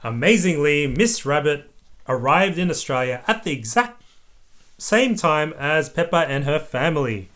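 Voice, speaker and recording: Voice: medium pitch, expressive, unhurried, a bit bright. A parent reading a children's story aloud expressively, with patient explanatory asides to a small child.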